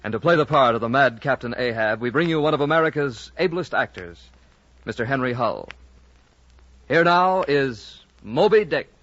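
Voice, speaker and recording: A man's voice: medium pitch, animated, normal speed, a bit bright, no room sound. Grand, swooping vintage radio announcer man. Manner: grand, declamatory. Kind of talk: dramatic old-time radio announcing. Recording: dull vintage radio recording with music.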